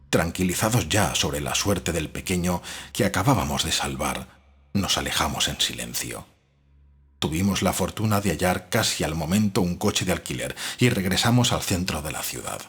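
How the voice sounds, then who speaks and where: A man's voice: low-pitched, a bit bright. A man reading gothic fiction as an audiobook narrator, in a scripted, dramatic style. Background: faint music.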